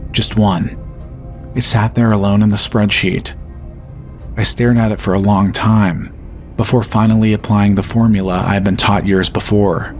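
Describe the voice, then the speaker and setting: Male horror narrator, lower register, slow and steady first-person storytelling. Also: faint music behind.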